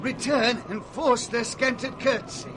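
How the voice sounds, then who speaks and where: A man's voice: very high-pitched, steady tone, slow. An actor playing a devoted, pleading servant of a king, urgent and respectful, with a British accent in a radio play. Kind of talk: 1960s British radio Shakespeare acting. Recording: noisy 1960s radio recording.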